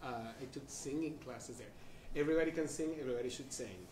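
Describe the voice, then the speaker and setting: A man moderating a panel, relaxed and dryly humorous as he passes questions along, with plenty of hesitant fillers. He sounds some way off the mic in a roomy space, with a faint hiss underneath. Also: mid-range voice, fast, recorded from a distance.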